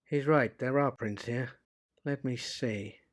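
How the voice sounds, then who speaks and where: A man's voice: medium pitch, slow pace, dry recording, clean studio sound, natural voice. Plain-spoken male forensic expert played by an actor. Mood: matter-of-fact. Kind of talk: audio drama acting.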